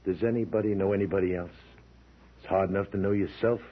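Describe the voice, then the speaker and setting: A man playing a smug, calm hired killer who muses condescendingly in an old radio crime drama. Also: sits low, husky. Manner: musing, condescending, unhurried. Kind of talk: 1940s-50s radio crime drama acting. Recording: tinny vintage radio recording.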